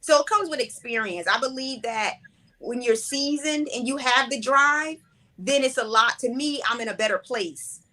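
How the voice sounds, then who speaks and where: Woman, forties, bright tone, relaxed reflective talk, interview guest. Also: high-pitched, normal speed. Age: 40s. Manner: relaxed, reflective, conversational with fillers. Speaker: playwright and director guest. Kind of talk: casual interview answers.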